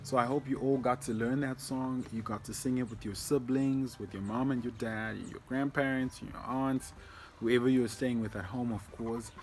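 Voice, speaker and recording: A man's voice: medium pitch, fairly even intonation, moderate pace, darkish tone, slight background noise. A man telling a story to children informally as a church storyteller, explaining things and addressing them directly.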